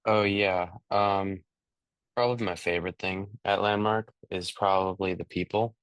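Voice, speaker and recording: Deep, flat-toned teenage boy. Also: moderate pace, clear, resonant tone, dry recording, low-quality recording. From New Mexico, United States. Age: late teens. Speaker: high school student. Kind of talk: informal question-and-answer. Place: video call.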